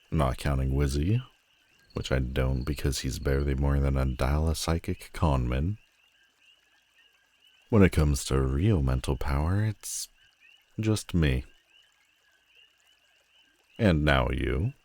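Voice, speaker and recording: A man playing a menacing, world-weary telepathic supervillain in an audio roleplay, theatrical and mocking, with deliberate dramatic pauses. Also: a bass voice, darkish tone, full character voice. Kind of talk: scripted audio roleplay addressed to the listener.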